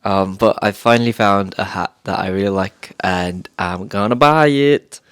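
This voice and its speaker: A man's voice: lowish voice, normal speed, a bit bright, clear, resonant tone. A man from the UK co-hosting a podcast, upbeat and chatty, stretching his phrases. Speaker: podcast co-host. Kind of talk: casual chat.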